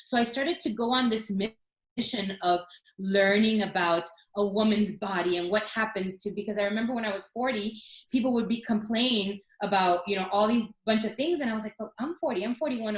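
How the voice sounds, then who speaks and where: A woman's voice: mid-range voice, a little fast, roomy sound, rough recording. A woman in her forties telling her story as a guest in a casual interview, chatty, with many fillers and restarts. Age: 40s.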